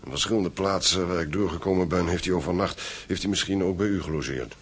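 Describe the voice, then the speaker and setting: An actor playing a middle-aged gentleman in a radio play, an anxious, gruff father with a weary, urgent manner. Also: deep voice, quick pace.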